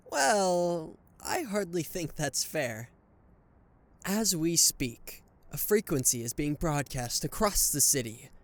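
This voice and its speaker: A man voicing a smug male anti-hero villain who gloats and teases a captured hero in an ASMR roleplay, with a mocking, flirtatious drawl. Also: fairly high pitch. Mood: scheming. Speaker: voice actor.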